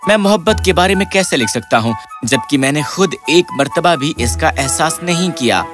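A man voicing an earnest, excitable, lovelorn young poet in a cartoon fairy tale, with dramatic delivery. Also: a little high, close to an everyday voice. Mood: despondent. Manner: dramatic, pleading, repeats himself. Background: music.

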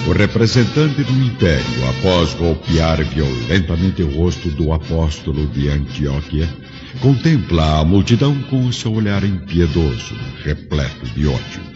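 Very deep-voiced male narrator. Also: big pitch swings, unhurried. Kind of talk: scripted dramatic narration. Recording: dull audio with music behind.

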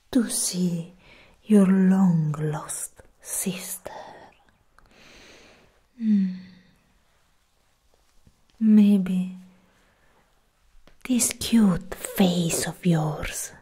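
Woman playing a menacing goddess of death, very slow, breathy whisper, proud would-be queen, close-miked ASMR roleplay. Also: mid-range voice, warm tone, heavily acted. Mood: sly, taunting. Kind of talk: soft-spoken ASMR roleplay. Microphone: close ASMR microphone.

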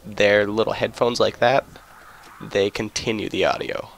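Man, low gravelly voice, casual gaming walkthrough, faint noise behind. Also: fairly even intonation, unhurried. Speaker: gameplay video narrator. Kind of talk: casual video game walkthrough commentary.

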